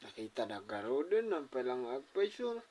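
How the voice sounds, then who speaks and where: A man's voice: medium pitch, very animated, normal speed, a little mellow. A man with an Ilocano accent playing a meddling relative in an audio drama, mocking and interjecting.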